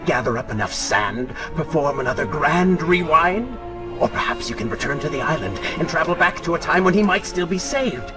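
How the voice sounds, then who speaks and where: A sneering dark alter ego in a video game. His pitch runs high and swings wildly as he taunts and mocks, over clear music. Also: very animated, moderate pace. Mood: taunting. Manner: sarcastic, mocking.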